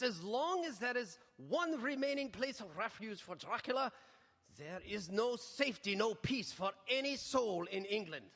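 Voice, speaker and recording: An actor playing a commanding professor who leads a vampire hunt, grave and emphatic, in a theatrical radio drama. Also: male voice, extremely high pitch, bright, forceful tone, heavily acted. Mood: urgent, emphatic. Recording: echoey hall with long reverb.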